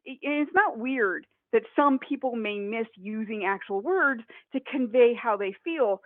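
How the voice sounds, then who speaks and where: A woman in her forties from Northern Ohio giving a conference webinar talk, slightly hoarse, chatty with many fillers and restarts. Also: on the high side, no echo. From Northern Ohio, USA. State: hoarse, coughing. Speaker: conference presenter. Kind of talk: webinar presentation. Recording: dry, phone-like audio.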